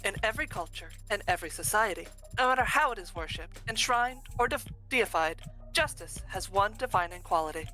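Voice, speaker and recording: A woman voicing a stately female machine judge, speaking in a formal, measured courtroom manner. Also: medium pitch, lively intonation, pushed and bright, soft background music. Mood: solemn. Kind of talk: voice acting a game live on stream.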